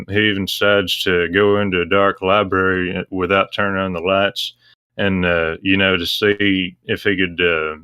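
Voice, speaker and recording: A man explaining things casually as a guest, in a hesitant, measured way. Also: low-pitched, bright, forceful tone, clear, resonant tone, no echo.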